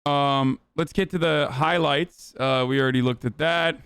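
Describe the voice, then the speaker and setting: Blunt, profane streamer man, smooth voice. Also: fairly high pitch, fairly even intonation, a bit bright, no room sound, studio quality. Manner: blunt, profane, rapid with many fillers. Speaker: political commentator streamer. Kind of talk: livestream commentary reacting to clips.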